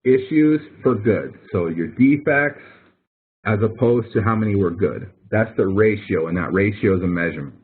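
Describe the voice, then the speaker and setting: A man with a fairly low, husky voice talks like a professor in an informal help session. He explains step by step and repeats key points for emphasis. The sound is narrow and phone-like, as on an online call. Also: expressive, moderate pace. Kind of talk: informal explanatory tutoring with questions and answers. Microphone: online call microphone. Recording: thin phone-like online conference audio.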